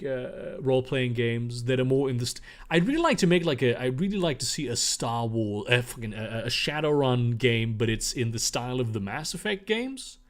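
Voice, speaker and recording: A young man from Germany answers chat questions on his stream in a loose, wandering way, swearing freely and restarting sentences. His voice sits at an ordinary middle pitch for a man. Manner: rambling, profane, reads questions aloud then answers. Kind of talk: casual livestream Q&A.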